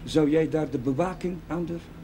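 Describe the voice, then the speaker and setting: Actor as genial parish chaplain, fairly high male voice, period TV drama, light soundtrack noise. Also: a little fast, warm tone, natural voice. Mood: inquiring, persuasive. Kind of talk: television period drama acting. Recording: faintly noisy 1970s television soundtrack.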